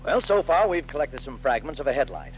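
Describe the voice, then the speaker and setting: A man's voice: mid-range voice, very animated, brisk, muffled recording, lightly acted. A weary police captain states grim facts in a plain, matter-of-fact mid-range voice, played by a man. Faint music sits under this 1930s radio drama. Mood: grave.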